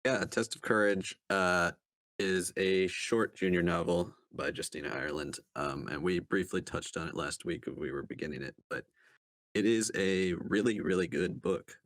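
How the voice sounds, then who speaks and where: Very deep-voiced man, hesitant book reviewer. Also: moderate pace, fairly bright tone, no echo. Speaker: podcast panelist reviewing books. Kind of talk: casual book review talk.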